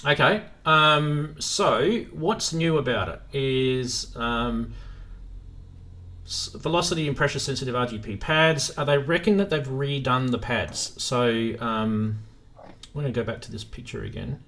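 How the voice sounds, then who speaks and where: A man's voice: mid-range voice, unhurried, a bit bright. A man hosting a live stream in a casual, informal chat, thinking aloud as he goes.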